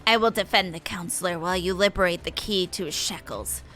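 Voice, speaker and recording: Woman voicing a stern, bright-voiced fish-man warrior. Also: on the low side, a little fast, faint music behind. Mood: resolute. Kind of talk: video game dialogue read aloud.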